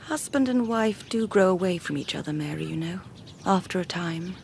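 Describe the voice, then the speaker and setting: An actress in a radio drama playing a scheming, resentful sister-in-law, insinuating and pointed. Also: female voice, lowish voice, animated, normal speed, creaky, a little mellow, slight background noise.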